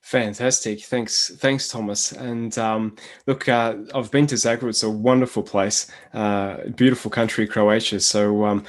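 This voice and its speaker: Low-voiced Australian man hosting an interview. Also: fairly even intonation. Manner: friendly, filler-heavy, halting. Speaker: host.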